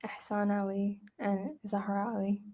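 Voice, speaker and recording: An eighteen-year-old woman from Iraq answers on the witness stand in short, soft replies, sometimes dropping almost to a whisper. Her voice is mellow and very flat, with little rise or fall. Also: mid-range voice, unhurried. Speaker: trial witness. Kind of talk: testimony under questioning.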